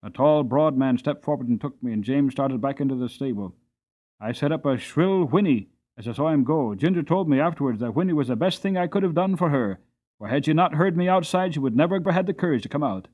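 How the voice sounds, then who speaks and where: A man narrating an audiobook as a dramatic, expressive storybook reading. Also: fairly high pitch, brisk, muffled recording.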